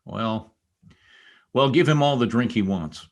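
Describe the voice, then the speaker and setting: A man's voice: fairly low pitch, animated, measured pace. A man playing a bitter, commanding former stable boy turned rich, sardonic, in old-time radio drama style.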